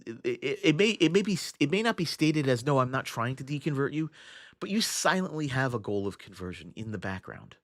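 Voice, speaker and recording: A man's voice: medium pitch, big pitch swings, a little fast, no room sound, clean studio sound. A young adult man hosting a casual livestream, thinking aloud with frequent restarts and fillers as he answers his chat. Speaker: livestream host. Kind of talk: casual livestream chat reading viewer comments.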